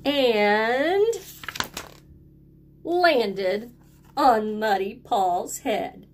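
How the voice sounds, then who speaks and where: A woman's voice: a little high, lively intonation, a little hiss or hum. A woman reading a children's picture book aloud like a teacher, speaking simply and prompting young listeners to join in. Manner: simple, deliberate phrasing, prompting children to repeat words.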